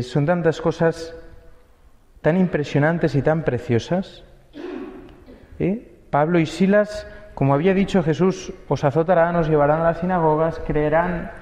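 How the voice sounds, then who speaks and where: A young priest from Spain, speaking with a Spain Spanish accent, gives a conversational homily at Mass, full of rhetorical questions and little tag words. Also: male voice, on the high side, a little mellow. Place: church. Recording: dull, lo-fi audio with long church reverb.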